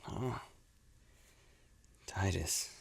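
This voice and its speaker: A man playing an earnest, loyal young man in an animated adventure. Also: drawn-out words, dry recording, whispered, clean studio sound. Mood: urgent, puzzled.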